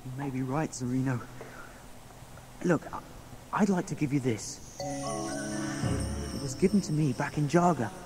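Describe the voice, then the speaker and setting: A man's voice: medium pitch, unhurried, long pauses, a little mellow, soft background music, lightly acted. An actor playing a plucky, earnest young male adventurer with an English accent in a radio drama. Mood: earnest, determined. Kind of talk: radio drama acting with narration. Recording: noisy radio drama sound effects behind.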